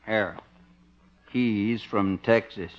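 An actor playing a lazy, amused small-town marshal with a folksy drawl, in a 1950s radio comedy. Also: male voice, on the low side, steady tone, slow. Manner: drawling, folksy, chuckling at own jokes. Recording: muffled old 1950s radio recording.

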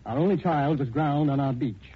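An actor playing an anxious, grieving middle-aged widower, halting and earnest, in 1940s radio drama style. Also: male voice, on the high side, lightly acted. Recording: noisy, dull 1940s radio recording.

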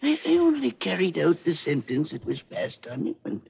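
A man playing a frightened, guilt-ridden former state executioner in 1940s radio mystery style, halting and dramatic. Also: on the high side, wildly swooping pitch, gravelly, slight background noise, heavily acted. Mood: haunted, defensive. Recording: muffled old radio recording.

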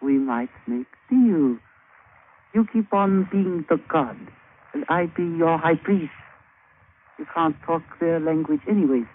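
A man's voice: fairly high pitch, phone-quality sound. An actor playing a sly, jovial, scheming island witch doctor who teases in broken English in 1950s radio drama style. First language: a language of Papua. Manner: broken English, teasing, unhurried. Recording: thin, hissy old radio broadcast.

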